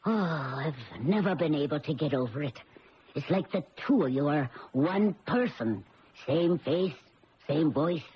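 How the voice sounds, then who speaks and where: Disapproving prim housekeeper, dry delivery, old radio drama, muffled noisy sound. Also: female voice, low voice, expressive, a little slow. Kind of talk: 1970s radio drama acting.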